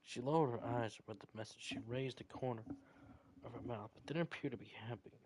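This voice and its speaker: A man hosting a gaming video, reading story text aloud in a halting way and commenting casually. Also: mid-range voice. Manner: halting, stumbling read-aloud. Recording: music behind the voice. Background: loud game music.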